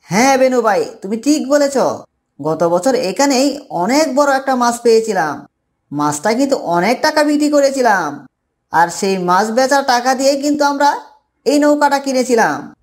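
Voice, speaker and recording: A woman's voice: high-pitched, animated, normal speed. A woman voicing an easygoing, agreeable male village fisherman in a cartoon fable, casual and conversational. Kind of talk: Bengali cartoon fable character dialogue.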